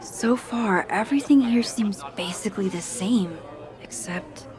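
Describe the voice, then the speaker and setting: Young woman, game lead played by an actress. Awkward, thoughtful, haunted, understated delivery at an ordinary pitch. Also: a little slow, a crowd in the background, natural voice. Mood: wondering. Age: young adult. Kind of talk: naturalistic video game acting, dialogue and musing.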